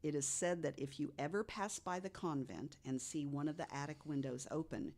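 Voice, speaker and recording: A low-voiced woman recounts tales in a conversational podcast style. She phrases things deliberately and leans on key words for emphasis. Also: a little mellow. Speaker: podcast guest storyteller. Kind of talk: conversational storytelling of ghost stories.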